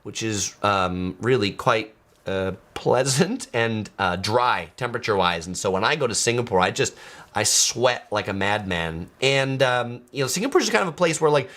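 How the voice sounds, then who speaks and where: A man's voice: lowish voice, expressive, moderate pace, a bit bright. An American man from California, a travel vlogger chatting casually on a live stream and answering viewer comments, with loose talk full of filler words. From San Diego, California, USA.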